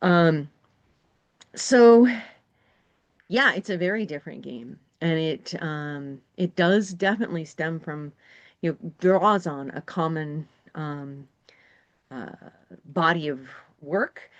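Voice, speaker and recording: A woman with a lowish voice talks casually as a guest designer on a podcast. She wanders through her story, pausing often on ums and restarts. She grew up in New England. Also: lo-fi sound. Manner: rambling storyteller, many fillers. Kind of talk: casual conversation.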